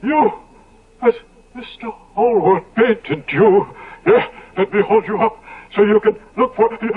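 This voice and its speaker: An actor playing a frightened, loyal manservant in a 1970s radio drama, halting and melodramatic, on a tinny old recording. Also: male voice, high voice, expressive, breathy edge, exaggerated voice. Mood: horrified awe.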